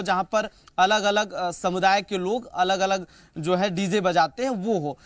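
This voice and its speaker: A man's voice: sits high, a bit bright, no echo. A man giving an unscripted live news report as a correspondent, with repetitive, emphatic phrasing.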